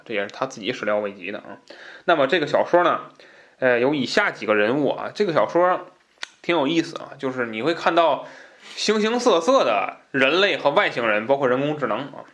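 A man's voice: medium pitch, expressive, brisk, fairly bright tone. Man hosting an informal explanatory book talk. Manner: conversational, rambling asides, repeats phrases for emphasis. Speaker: podcast host.

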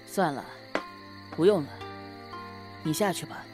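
A woman playing a moody young gentleman official in a Chinese period radio drama, grumbling and imperious. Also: low-pitched, animated, unhurried, music in the background. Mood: sullen.

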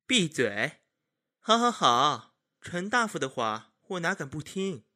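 An actor playing a sharp-tongued, sardonic young male retainer in a Chinese period audio drama. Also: fairly high pitch, very animated, takes it slowly, full character voice. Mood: mocking. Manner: mocking, sardonic. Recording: loud background music.

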